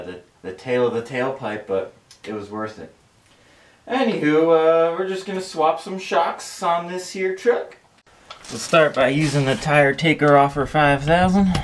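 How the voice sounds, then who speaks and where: A young man chatting casually in a laid-back, slangy, sweary way as he hosts a car repair vlog from his garage. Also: fairly high pitch. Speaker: host of a DIY car repair channel. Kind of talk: casual vlog chat while working. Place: garage workshop with open door.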